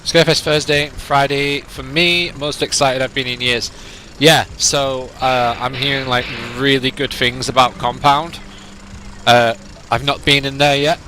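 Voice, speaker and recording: A man's voice: medium pitch, level tone, measured pace, bright, forceful tone, slight background noise, poor audio. Lancashire man streaming, nasal with a cold. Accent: northern English, Lancashire. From England. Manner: chatty and rambling, reads chat aloud. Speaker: game streamer. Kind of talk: casual live-stream chat with viewers.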